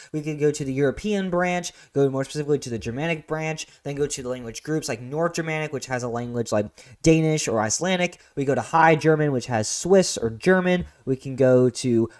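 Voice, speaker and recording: Fast-talking teacher, upbeat. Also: male voice, fairly high pitch. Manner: energetic, explanatory, quick delivery with casual asides. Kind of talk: review lesson with slides.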